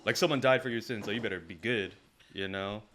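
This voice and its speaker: A man's voice: lowish voice, a little fast, a bit bright, a little hiss or hum. A man co-hosting a podcast, musing casually in slangy talk full of "like" and "you know". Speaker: podcast co-host. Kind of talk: casual, reflective podcast chat.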